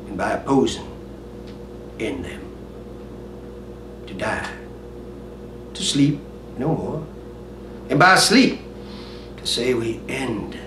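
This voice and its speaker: A man playing an earnest, brooding prince, reciting a Shakespearean monologue in a declamatory way with weighty pauses. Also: mid-range voice, voice pushed down. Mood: brooding, subdued. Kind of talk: dramatic Shakespearean stage monologue. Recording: roomy, distant mic, faint noise.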